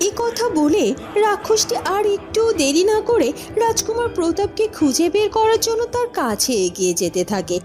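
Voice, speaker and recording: উঁচু, প্রাণবন্ত গলার নারী গল্পকথক. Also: female voice, very high voice, very animated, moderate pace, a little mellow, faint music behind. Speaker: narrator. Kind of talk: animated storybook narration for a cartoon.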